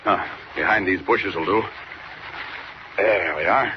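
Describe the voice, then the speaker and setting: Casting: a confident caped hero for a vintage radio serial, low and commanding, heard through heavy old-broadcast noise. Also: male voice, a little slow. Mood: brisk, satisfied. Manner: commanding, talks to himself while acting. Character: bold caped superhero, confident and wryly amused. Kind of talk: 1940s radio acting. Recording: muffled, noisy old radio recording.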